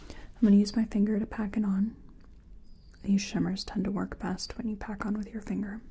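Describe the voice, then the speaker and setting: Softly whispering woman, gentle ASMR roleplay. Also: mid-range voice, level tone, normal speed. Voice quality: soft, breathy, mostly whispered. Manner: gentle, reassuring, murmured repetitions. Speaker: ASMR performer playing a makeup artist. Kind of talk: soft-spoken whispered ASMR personal attention roleplay.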